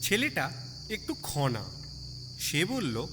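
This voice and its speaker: A man's voice: a little high, huge pitch swings, slow pace, bright, forceful tone, slight background noise. A man narrating a scripted story expressively, as an audiobook storyteller would. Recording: long reverb over music bed. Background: music and cricket sounds.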